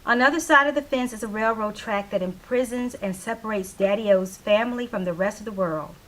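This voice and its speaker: A woman's voice: mid-range voice, a little fast, a bit bright, low-quality recording. A woman reading scripted stage directions as the narrator of a play reading.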